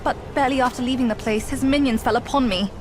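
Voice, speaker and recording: High-voiced, earnest young fox princess played by a woman. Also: fast talker, natural voice, rough recording. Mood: sorrowful, earnest. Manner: dramatic, imploring. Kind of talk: video game cutscene acting. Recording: music behind the voice.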